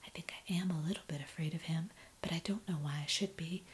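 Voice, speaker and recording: A woman's voice: sits low, quick pace, slight background noise, close to an everyday voice. A woman whispering as a bold teenage girl. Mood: frank whisper.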